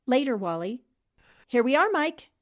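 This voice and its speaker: A woman's voice: high-pitched, sing-song, moderate pace. A woman playing a shrewd, self-assured newspaperwoman sleuth in 1940s radio mystery style, explaining things precisely and methodically. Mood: composed.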